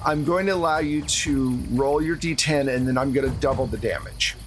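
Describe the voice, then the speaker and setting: A man's voice: medium pitch, normal speed, faint music behind. A man narrating a tabletop horror roleplaying game as game master, in a casual, conversational way.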